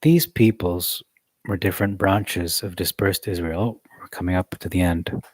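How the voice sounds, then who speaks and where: A man reading a study text aloud in a measured way, adding occasional joking asides. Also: low-pitched, steady tone, a little mellow, dry recording.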